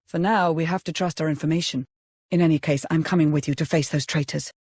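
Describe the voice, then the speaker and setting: A man's voice: a little high, steady tone, fast, darkish tone, husky, no echo, studio quality. A man playing a dutiful, determined military adviser in a sci-fi video game, serious and businesslike. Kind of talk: dramatic video game acting.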